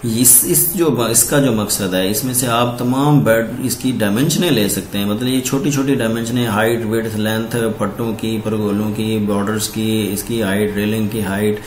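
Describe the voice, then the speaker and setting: A man from Pakistan walking through floor plans in a steady, explanatory voice-over, pointing out rooms one by one. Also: on the low side, normal speed, hardly any pauses, slight background noise. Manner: steady explanatory, repeating "ye dekhen" while pointing. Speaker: architect presenting house plans. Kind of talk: walkthrough narration of floor plans. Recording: roomy echo, faint ambience.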